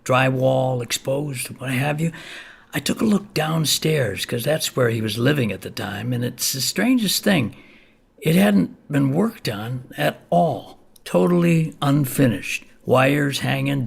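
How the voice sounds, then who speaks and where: Chatty old neighbor dude, super gravelly n husky, folksy rambling storyteller in a radio play. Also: male voice, medium pitch, lively intonation, measured pace, exaggerated voice. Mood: confiding. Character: chatty folksy older neighbor man, easygoing and nosy. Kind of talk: theatrical monologue in an audio play.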